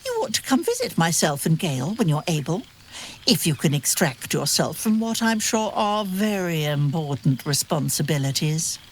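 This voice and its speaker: A woman's voice: low-pitched, big pitch swings, moderate pace, a little hiss or hum. An actress voicing a haughty, doting female winged cat in a video game, with arch, condescending politeness. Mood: arch, teasing.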